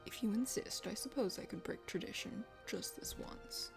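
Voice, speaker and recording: A woman voicing a proud but shy young male hunter prince in a visual novel, with a haughty, halting manner. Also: medium pitch, gravelly, pitched below the performer's own voice, close to an everyday voice. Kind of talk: visual novel character voice-over. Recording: music under the voice.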